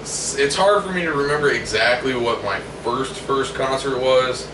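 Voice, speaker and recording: A man's voice: medium pitch, moderate pace. A man who grew up in Germany, talking in a laid-back way and swearing casually. He sits well back from the mic in a reverberant room, with constant background noise under his voice. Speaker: band member. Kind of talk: casual chat. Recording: roomy, distant mic with noise.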